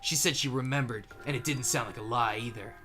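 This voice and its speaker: A man's voice: medium pitch, fairly quick, bright, forceful tone, lightly acted. A man playing an earnest, thoughtful young student detective in an anime game fandub. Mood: earnest, reasoning. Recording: faint music behind.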